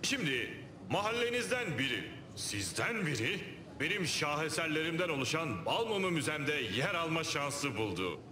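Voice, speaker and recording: A man's voice: fairly high pitch, big pitch swings, moderate pace, echoey room, noisy background. A man voicing a curt, showy mouse who owns a museum, announcing pompously in a cartoon. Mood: grandly announcing.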